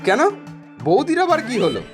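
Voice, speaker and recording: A man's voice: extremely high pitch, huge pitch swings, fairly quick, over music, full character voice. A man voicing a genial, courteous Bengali traveller visiting a household in a cartoon. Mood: friendly, curious. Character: genial, courteous male traveller and family acquaintance. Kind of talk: cartoon voice acting.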